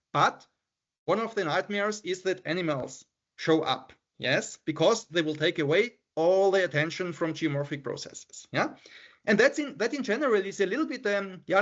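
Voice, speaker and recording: Brief: middle-aged Austrian German-accented male professor, fairly high voice, halting online lecture, muffled call audio. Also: a bit bright. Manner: hesitant, repeats words, many "yeah" and "so" fillers. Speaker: professor giving a seminar talk. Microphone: computer mic on a video call.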